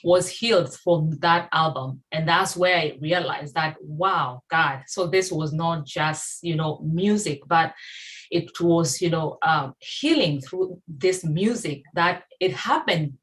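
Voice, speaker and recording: A woman's voice: sits low, slow pace, a bit bright. A woman in her forties from Tanzania, a Swahili speaker, speaking earnestly as a guest on a video-call interview. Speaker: gospel singer guest.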